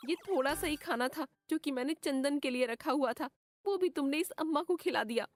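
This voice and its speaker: A woman voicing a sharp-tongued, worried young village wife and mother in a cartoon story, with a complaining manner. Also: high voice, fairly quick, voice pushed up, lightly acted. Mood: scolding. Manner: scolding, complaining. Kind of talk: Hindi cartoon story acting.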